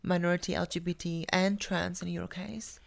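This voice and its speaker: A woman's voice: lowish voice, little pitch movement, moderate pace, gravelly. A woman hosting a podcast, welcoming her listeners and guest in an upbeat way and asking interview questions, recorded on a studio microphone. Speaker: podcast host.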